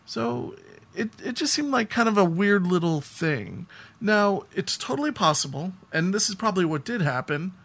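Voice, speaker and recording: A man's voice: high-pitched, lively intonation, moderate pace, creaky, a bit bright. A man co-hosting a podcast, telling anecdotes in a casual, rambling conversational way. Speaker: podcast co-host.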